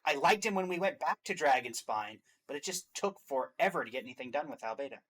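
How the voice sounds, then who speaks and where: A man's voice: on the high side, animated, fast talker, a bit bright. A man hosting a casual game reaction and ranking show, talking in a chatty, opinionated, emphatic way.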